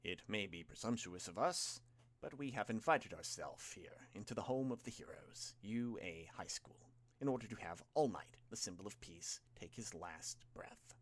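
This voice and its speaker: A man voicing a courteous, composed mist villain in an audiobook, speaking formally and deliberately. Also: on the low side, expressive. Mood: politely menacing.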